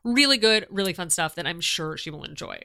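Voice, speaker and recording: Upbeat woman host, lively swooping delivery, bright sparkle on the voice; chatty sign-off reads. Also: lowish voice, brisk. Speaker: podcast host. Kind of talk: casual podcast chat.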